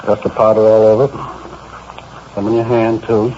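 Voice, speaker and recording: A man's voice: lowish voice, flat delivery, normal speed, warm tone, close to an everyday voice. An American man playing a police crime lab expert in a 1950s radio drama, explaining things patiently in plain, folksy talk. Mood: patient, instructive. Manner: folksy, explanatory, matter-of-fact. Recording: dull 1950s radio recording, faint noise.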